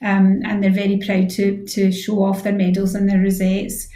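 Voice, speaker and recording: A woman's voice: medium pitch, monotone, normal speed, warm tone. A Scottish woman in her sixties giving thoughtful, wordy answers as a guest in a conversational radio interview, often repeating her phrases. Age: 60s. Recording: echoey room sound.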